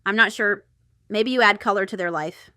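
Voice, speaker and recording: Bright-voiced woman giving a casual tarot reading. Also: medium pitch, normal speed, clean tone, no room sound, studio quality. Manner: chatty, rambling asides, thinking aloud. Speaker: tarot reader and channel host. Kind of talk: casual intuitive tarot reading, talking to the viewer.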